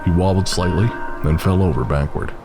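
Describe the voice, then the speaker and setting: A man's voice: low-pitched, a little fast, over loud music. A man narrating a western horror story in a dramatic, scripted audio drama style.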